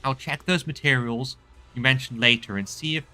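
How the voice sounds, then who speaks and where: A man commentating on a video game as a streamer, reading dialogue aloud and reacting in an animated way. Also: mid-range voice, moderate pace, soft background music.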